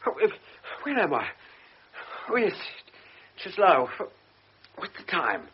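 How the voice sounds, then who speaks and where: An actor playing an anxious young army lieutenant who talks nervously and urgently, sometimes stammering. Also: male voice, high-pitched, huge pitch swings, measured pace, breathy edge, exaggerated voice. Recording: dull old radio drama, faint hiss.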